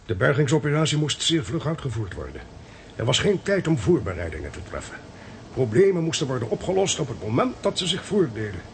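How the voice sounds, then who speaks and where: An actor with a Netherlands Dutch accent playing an authoritative intelligence agent explaining things emphatically in a radio play. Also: male voice, medium pitch, very animated, a little fast, a little hiss or hum. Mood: grave.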